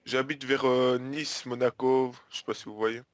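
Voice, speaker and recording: Man, medium pitch, dry close sound, prank caller, short polite replies. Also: fairly even intonation, moderate pace, no echo. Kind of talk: prank phone call.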